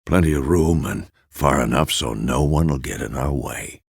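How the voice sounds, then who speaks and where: A man playing a gruff, weathered old monster-hunter mentor in a video game, terse and dry, with a gravelly voice. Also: extremely low pitch, normal speed, dry recording, exaggerated voice. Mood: calm, matter-of-fact. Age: older. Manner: terse, clipped phrases, dry. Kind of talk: scripted video game character dialogue.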